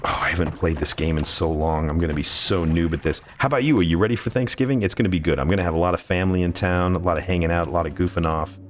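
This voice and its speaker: Very deep-voiced male livestreamer. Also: steady tone, rapid-fire, hardly any pauses, a little hiss or hum. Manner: effusive, playful, repeats phrases, goofy asides. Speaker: livestreamer playing a game and greeting chat. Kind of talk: casual livestream chat and game commentary.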